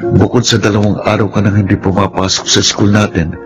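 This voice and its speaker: A man's voice: fairly low pitch, flat delivery, fairly quick, natural voice. A man acting a chummy student friend, music behind. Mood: grave, concerned. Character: chummy, candid male student, the loyal friend. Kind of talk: Filipino radio drama acting.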